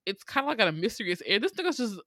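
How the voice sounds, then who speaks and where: An American woman co-hosting a casual movie recap, telling the story in an animated, slangy, profane way and laughing often. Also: mid-range voice, rapid-fire, no room sound. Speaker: podcast co-host recapping a movie. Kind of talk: casual comedic storytelling chat.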